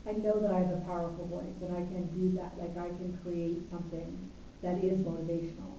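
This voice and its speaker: A woman co-hosting a casual weekly work meeting, chatty and quick to laugh, often trailing off mid-sentence. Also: lowish voice, flat delivery, warm tone, distant mic, a little hiss or hum. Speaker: co-host of a weekly progress meeting. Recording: echoey dull room sound from off mic.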